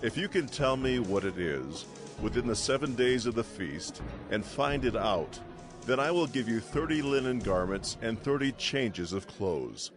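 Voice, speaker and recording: Headstrong young ancient hero for Bible audio drama; deep-leaning, animated, formal, music underneath. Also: male voice, measured pace. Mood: challenging. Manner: commanding, archaic formal phrasing. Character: headstrong young Israelite strongman, brash and proud.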